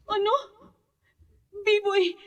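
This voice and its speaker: Shrill, frightened young captive. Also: female voice, little pitch movement, drawn-out words, heavily acted. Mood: shocked indignation. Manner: pleading, indignant, melodramatic. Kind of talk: Filipino radio horror drama acting.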